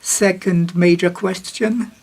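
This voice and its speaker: A woman giving a simultaneous interpretation of a panel chair's remarks, measured, with occasional restarts and self-corrections. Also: fairly low pitch, fairly even intonation, gravelly, a little mellow. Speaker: conference interpreter.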